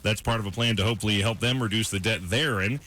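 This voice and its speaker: A man's voice: on the low side, quick pace, pushed and bright. An American man co-hosting a radio show, explaining the news informally and paraphrasing as he reads, in a conversational back-and-forth style. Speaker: radio morning show news presenter and co-host. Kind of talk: conversational radio news rundown with co-host banter. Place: radio studio.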